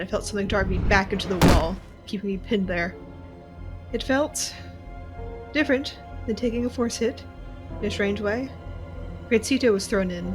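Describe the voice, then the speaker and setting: A woman's voice: mid-range voice, natural voice. Young female warrior, played by an actress: angry, shaken, dramatic first-person delivery, medium register, light musical underscore. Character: angry, shaken young warrior woman narrating her captivity.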